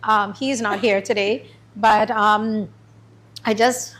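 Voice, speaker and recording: A middle-aged woman from Trinidad and Tobago giving a chatty, informal talk about her business to a small group, with many pauses and ums. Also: medium pitch, steady tone, a bit bright. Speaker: small business owner presenting. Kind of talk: informal pitch presentation. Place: meeting room.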